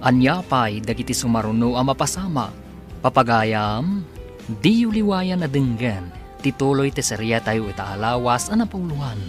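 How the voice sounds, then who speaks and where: A male narrator of a radio soap delivers scripted announcements with dramatic phrasing. His voice sits at a middle pitch over faint music. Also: very animated, moderate pace.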